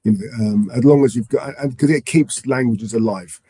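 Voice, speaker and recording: A man with a summer cold co-hosting a talk show, sounding congested and speaking haltingly with repeated words. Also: mid-range voice, dark tone, breathy edge, no echo. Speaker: podcast co-host. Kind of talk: casual discussion.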